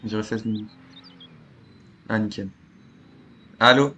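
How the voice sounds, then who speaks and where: A man's voice: fairly low pitch, steady tone, takes it slowly, pushed and bright, a little hiss or hum. A man from chatting casually in slangy, sweary as the host of a gaming channel. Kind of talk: casual voice-chat banter about gaming.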